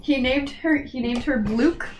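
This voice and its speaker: A teenage girl vlogging in a rambling, gushing way, her voice a little on the high side. She sounds slightly sniffly as she gets over a cold, and there is a faint hiss behind her. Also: a little slow, low-quality recording. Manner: rambling, gushing fan talk. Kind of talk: casual chat to camera.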